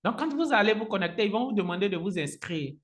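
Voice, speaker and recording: A man's voice: high-pitched, big pitch swings, quick pace, a bit bright. Echoey male tutor, native Agni speaker. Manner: instructional, step by step, repeats phrases. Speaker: online trainer. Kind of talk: live software tutorial with screen share. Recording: roomy, echoing sound.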